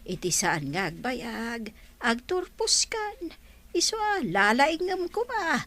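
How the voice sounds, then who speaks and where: A woman's voice: fairly high pitch, big pitch swings, slow pace, sharp tone, heavily acted. A woman playing a doting, proud elderly grandmother with a Filipino accent in an audio drama. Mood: fond. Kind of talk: Ilocano audio drama acting.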